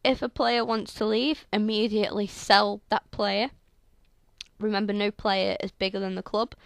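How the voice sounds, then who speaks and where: A young woman with a sore, croaky throat, chatting through video game commentary on a headset mic in a rambling way full of fillers. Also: mid-range voice, level tone, normal speed, dry recording. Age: young adult. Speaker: gaming channel host. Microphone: headset microphone.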